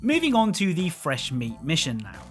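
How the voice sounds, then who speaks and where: A man's voice: fairly high pitch, huge pitch swings, normal speed, loud music behind the voice. A man narrating a scripted video game explainer as a YouTuber, with dry humour.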